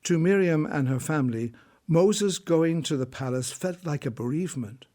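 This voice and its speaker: A man narrating a scripted story in a measured, solemn manner. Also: on the high side, lively intonation, mellow.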